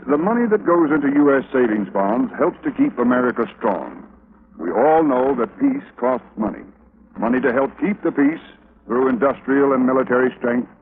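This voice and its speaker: An actor playing an earnest, proud married man in a measured 1950s radio public service ad. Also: medium pitch, natural voice. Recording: dull old radio, background noise.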